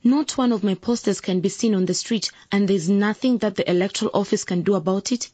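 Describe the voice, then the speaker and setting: An actress playing a determined, idealistic young political candidate in a radio drama. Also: female voice, mid-range voice, fairly quick, dark tone, husky, no echo, lightly acted. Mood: indignant. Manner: earnest, assertive, formally polite. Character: determined, idealistic young woman running for civic office.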